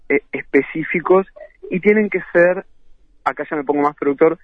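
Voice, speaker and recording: A man's voice: a little high, no echo. A man from Uruguay with a Uruguayan Spanish accent, a musician and producer talking casually as a radio guest. He laughs easily and restarts his phrases often. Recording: tinny phone line.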